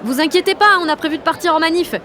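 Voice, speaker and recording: A woman's voice: medium pitch, expressive, normal speed, a crowd in the background, noisy background, natural voice. A woman playing a cocky, exalted sixteen-year-old girl activist, narrating an audio drama in brash, confiding slang. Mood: rousing. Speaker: lead actor and narrator.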